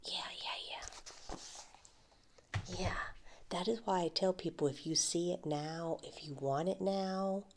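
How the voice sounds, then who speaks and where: A woman speaks in a deep, leisurely voice in an ASMR roleplay, acting as a friendly flea market seller. She keeps her words soft and unhurried, drifting into whispers. Light laughs come up often between her lines. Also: a little hiss or hum. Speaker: ASMR creator playing a flea market vendor.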